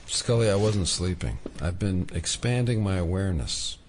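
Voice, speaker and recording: An actor playing a wry, laid-back FBI agent in an audio drama, with a dry deadpan manner. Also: male voice, low-pitched, normal speed. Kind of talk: naturalistic audio drama acting. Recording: faint background noise.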